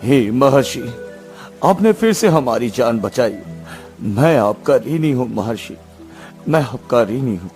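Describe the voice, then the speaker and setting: A man's voice: mid-range voice, expressive, slow, a little mellow, faint music behind. A man voicing a commanding, emotional king and protective father in a cartoon story, with dramatic, earnest delivery. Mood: grateful.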